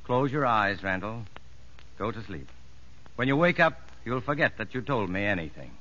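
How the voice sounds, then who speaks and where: An actor playing an earnest prison psychologist in a 1950s radio drama, measured and probing. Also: male voice, lowish voice, animated, pushed and bright. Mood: probing, commanding. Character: earnest male prison psychologist, narrating and talking with convicts. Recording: dull vintage radio recording, music underneath.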